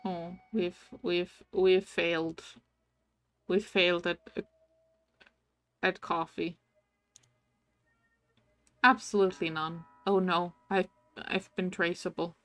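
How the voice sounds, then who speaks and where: Slow-talking woman streamer, dry close sound. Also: on the low side, little pitch movement, a bit bright, dry recording, soft background music. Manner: reads game text aloud between musing asides; coos affectionately at game pets. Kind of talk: casual game-stream commentary.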